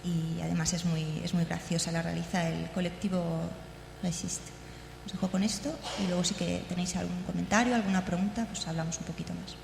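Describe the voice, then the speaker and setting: A woman's voice: lowish voice, fairly even intonation, fairly quick, vocal fry. A woman giving an informal conference presentation, hesitant and searching for words. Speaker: conference speaker. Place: large conference room, indoors. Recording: very echoey hall, faint noise.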